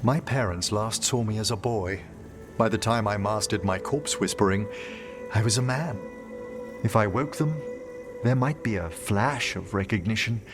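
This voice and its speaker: Male actor as refined necromancer, reflective, measured, formal, fantasy game character, music in the background. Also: on the low side, close to an everyday voice. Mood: pensive. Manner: measured, thoughtful, formal phrasing. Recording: music behind the voice.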